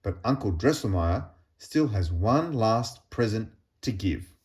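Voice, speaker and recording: A man reading a children's storybook aloud in an expressive, deliberate storytelling manner with pauses. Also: on the low side. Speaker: storybook reader.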